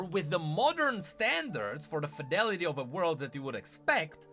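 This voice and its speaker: A man's voice: sits high, sharp tone. A man giving casual live-stream commentary on gaming news, thinking aloud with rhetorical questions and emphatic repeats. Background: background music.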